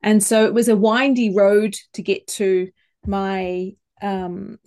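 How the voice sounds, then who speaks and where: New Zealand woman, measured guest. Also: mid-range voice, steady tone, a little mellow. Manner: thoughtful, measured, with small restarts. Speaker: communications coach, podcast guest. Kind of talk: conversational interview.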